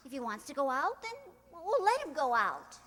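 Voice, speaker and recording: A woman acts a young niece in a radio comedy, sensible, coaxing and a little impatient. Her voice is extremely high and very animated, and it echoes in a hall. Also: moderate pace. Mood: flustered, insistent. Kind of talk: old-time radio comedy acting.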